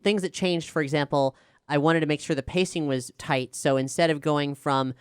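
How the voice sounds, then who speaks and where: Showrunner on a casual Q&A panel: crisp, close dry sound, talks through process conversationally. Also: mid-range voice, steady tone, moderate pace, no room sound. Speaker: showrunner and writer of an audio drama.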